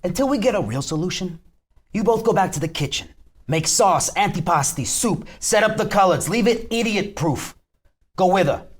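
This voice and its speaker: Man acting a husky, short-fused mob boss. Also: high voice, expressive, normal speed. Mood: commanding. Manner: curt, profane, commanding. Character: short-fused mob boss barking orders in his restaurant. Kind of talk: script table read.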